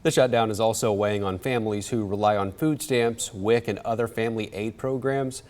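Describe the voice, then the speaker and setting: A man anchoring a local newscast, reading the news in a steady, measured way. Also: fairly low pitch, noisy background.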